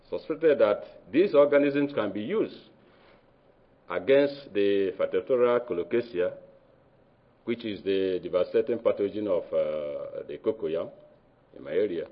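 A man with a Nigerian accent presents his research findings in a low voice. He pauses often and hesitates between phrases. The recording is dull and lo-fi. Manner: hesitant, many uh fillers, halting phrasing. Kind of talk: academic conference presentation.

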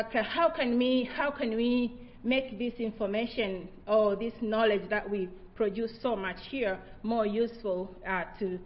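Middle-aged woman from Tanzania, Haya and Swahili speaker, reflective research talk, mid pitch. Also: lo-fi sound. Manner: earnest, reflective, with frequent restarts. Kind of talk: presentation talk.

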